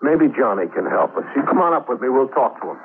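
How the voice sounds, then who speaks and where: An actor playing a weary, calculating husband in an old-time radio drama. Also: male voice, medium pitch, sing-song, fast talker, heavy background noise. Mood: hesitant. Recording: muffled, narrow-band vintage radio recording.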